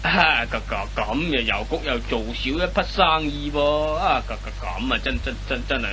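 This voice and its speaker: Clueless boyfriend, radio comedy. Hong Kong Cantonese, flustered stammer, very animated pitch, forceful bright tone. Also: male voice, moderate pace. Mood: flustered pleading. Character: bumbling dim-witted hapless boyfriend, pleading and flustered. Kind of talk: comic radio play acting. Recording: old radio recording with faint noise and hum.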